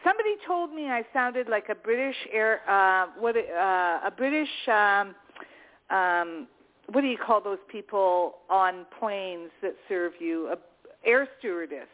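A woman's voice: mid-range voice, lively intonation, measured pace. A woman with a Canadian accent chatting on a call-in show, searching for words as she goes. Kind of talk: casual call-in show chat. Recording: tinny phone line.

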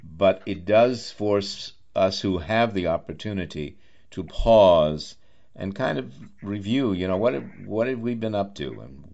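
A man's voice: fairly low pitch, animated, a little slow, vocal fry, darkish tone, highs cut off. A man interviewing as a radio host in a chatty style, with effusive, wordy and rather formal phrasing.

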